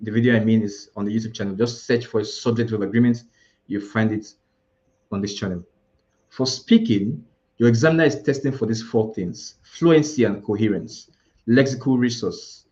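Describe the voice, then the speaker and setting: A man's voice: fairly low pitch, a little mellow, highs cut off. A man with a Nigerian accent, teaching an IELTS preparation class live, explaining scoring and listing word alternatives with frequent restarts. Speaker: IELTS tutor.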